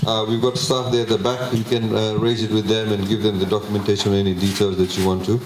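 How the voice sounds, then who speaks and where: Male official, low-ish voice, measured public address with pauses, roomy hall, faint background hum. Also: little pitch movement, a little mellow. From Fiji. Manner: measured, many filler pauses. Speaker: government minister presenting a budget. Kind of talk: public presentation with slides. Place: public consultation meeting hall. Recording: echoey hall, faint ambience.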